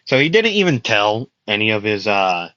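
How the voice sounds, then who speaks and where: A man's voice: lowish voice, very animated, moderate pace, bright, forceful tone, no echo, muffled recording. A young man casually telling a fan-made anime story, rambling with fillers and frequent laughs, his voice getting worn out. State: losing his voice.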